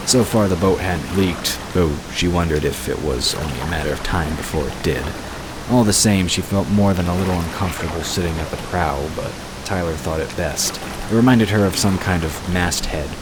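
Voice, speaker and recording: A man narrating a scripted fantasy story in a measured storytelling manner. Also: deep voice. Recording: noisy, with water sound effects behind. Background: rushing water ambience.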